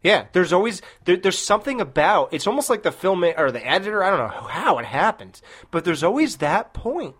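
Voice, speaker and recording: A man's voice: high voice, sing-song, brisk, few pauses, fairly bright tone, breathy edge. A man co-hosting a horror film podcast, chatting casually and rambling, often breaking into laughter. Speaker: podcast co-host. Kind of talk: casual chat.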